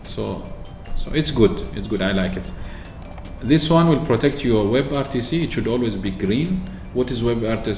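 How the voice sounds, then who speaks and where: A man from Oman chatting casually through a software walkthrough, explaining with fillers and restarts. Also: mid-range voice, lively intonation, normal speed, faint music behind. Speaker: tech video host. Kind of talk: screen-recorded software walkthrough. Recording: echoey room with faint ambience.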